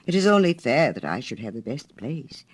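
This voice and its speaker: A woman's voice: very deep voice, very animated, moderate pace, breathy edge, exaggerated voice. A woman playing a smug, self-righteous male miller in a storybook reading, pompous and wheedling. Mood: self-pitying.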